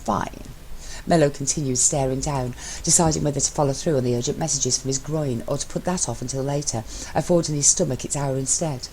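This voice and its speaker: A woman reading fiction aloud as an amateur narrator, with expressive story delivery. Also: a bass voice, quick pace, few pauses, a little hiss or hum, rough recording.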